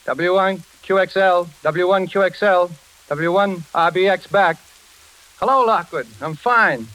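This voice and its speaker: An actor playing a brisk, slangy engineer who frets over his friend in a 1940s radio drama. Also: high voice, fairly bright tone. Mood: brisk, cheerful. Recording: noisy, crackling vintage radio recording.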